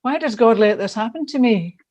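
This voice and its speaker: Woman with raised pitch reading scripted devotional. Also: lively intonation, fairly quick, darkish tone. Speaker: church devotional reader.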